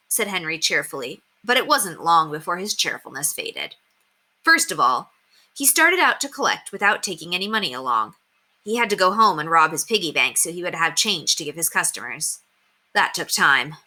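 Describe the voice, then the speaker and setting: A woman reading a children's story aloud, narrating expressively in a clear, animated way. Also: lowish voice. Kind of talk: expressive scripted narration with character voices.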